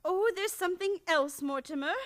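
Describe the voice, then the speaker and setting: A woman's voice: very high-pitched, normal speed. An actress in an old-time radio comedy playing a sweet, genteel, dotty elderly aunt with a ladylike, chatty manner. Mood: sweetly chatty.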